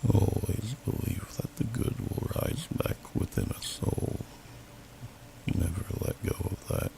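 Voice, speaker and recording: Deep, gravelly old dragon. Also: male voice, heavily acted. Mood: kindly, reflective. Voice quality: very deep, gravelly, breathy growl. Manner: slow, pensive, archaic formal phrasing. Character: gentle, wise old male dragon, welcoming host. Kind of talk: ASMR fantasy roleplay. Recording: noisy amateur home recording.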